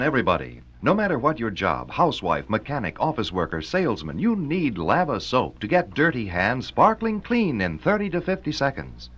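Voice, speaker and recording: An American man announces a vintage radio commercial in a mid-pitched, slightly husky voice. His selling is punchy and swings widely in pitch, on a dull old recording. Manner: punchy, emphatic sales delivery. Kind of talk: 1940s radio advertisement.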